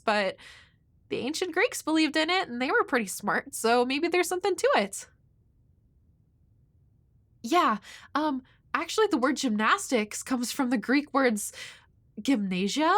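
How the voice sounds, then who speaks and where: A woman's voice: on the high side, a bit bright, dry recording. A woman playing a shy, awkward, nerdy young woman next door in an audio roleplay, hesitant and rambling with a nervously apologetic manner. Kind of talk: intimate audio roleplay addressed to the listener.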